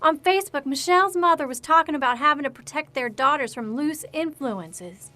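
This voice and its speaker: A woman's voice: high voice, animated, fairly quick, bright, forceful tone. A woman playing an upset, accusing mother fed up with her daughter, tense and reproachful, in a stage drama. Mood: confronting, tense.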